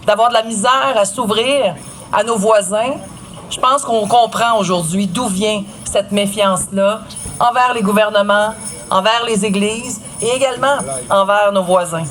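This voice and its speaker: A woman's voice: on the high side, fairly bright tone. A woman with a Quebec French accent making an earnest, emphatic public speech at an outdoor event. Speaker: guest speaker at a public celebration. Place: outdoor event at an old port.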